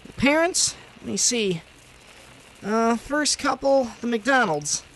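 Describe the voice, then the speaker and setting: An actor playing an earnest, overeager young rookie police detective in an old time radio drama. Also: male voice, very high-pitched, lively intonation, slow, a bit bright, slight background noise, heavily acted, low-quality recording.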